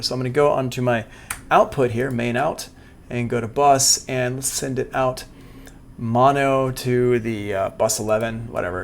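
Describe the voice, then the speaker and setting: Casting: male music production instructor, informal, creaky low-to-middle voice, thinking aloud on a livestream with faint background noise. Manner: casual, informal. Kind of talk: live software tutorial, thinking aloud. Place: indoors, livestream class.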